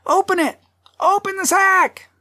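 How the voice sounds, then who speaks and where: An excitable townsperson from a storybook crowd, acted out by a narrator. The voice leaps very high and calls out loudly, shrill and clamorous. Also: measured pace, full character voice. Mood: excited, clamorous. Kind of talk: acted audiobook dialogue.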